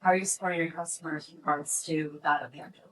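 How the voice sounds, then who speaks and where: Distant, slightly low-voiced woman asking questions. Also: steady tone, fast, a bit bright. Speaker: audience questioner. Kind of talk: structured spoken questions. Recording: distant mic, poor event audio.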